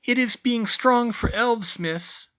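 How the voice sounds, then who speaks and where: A reader voicing Tilly, an older female house-elf teacher who squeaks in broken grammar. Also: takes it slowly, bright, forceful tone, clear, resonant tone. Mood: earnest.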